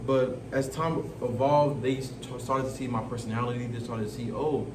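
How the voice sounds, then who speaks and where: A reflective man from Africa starts his sentences over as he works through his thoughts. He sits far from the mic in an echoey room. Steady background noise is heard under him. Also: medium pitch. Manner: reflective, halting restarts. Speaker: guest. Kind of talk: casual discussion.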